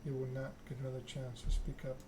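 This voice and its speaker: A man softly reading a story game aloud in a hushed, measured ASMR narration. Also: medium pitch, monotone. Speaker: video game let's-player reading the game aloud. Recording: clear background noise.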